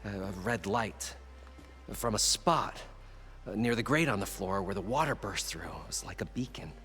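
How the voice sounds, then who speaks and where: An actor playing an eager, chatty salvager in a video game cutscene. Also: male voice, lowish voice, lively intonation, normal speed, a bit bright, faint music behind. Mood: recounting. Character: eager older male salvager, folksy and chatty.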